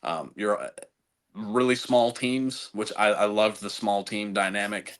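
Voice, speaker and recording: A man's voice: fairly low pitch, fairly even intonation, measured pace, a bit bright. A middle-aged man from the United States, an Army veteran officer, talking casually as a podcast guest, often restarting and repeating words. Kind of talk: casual conversational interview.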